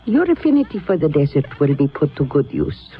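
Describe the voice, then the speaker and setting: A woman's voice: low-pitched, sing-song, brisk, soft background music. A stern old sorceress from a 1970s radio drama. She is crafty and teasing, delivering each phrase with grand deliberation. The sound is dull, vintage radio. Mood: teasing, insistent. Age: older.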